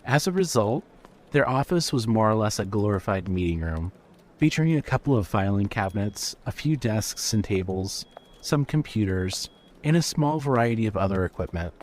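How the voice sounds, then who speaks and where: A man's voice: on the low side, animated, normal speed, darkish tone, a little hiss or hum. A man giving scripted narration of a fiction story.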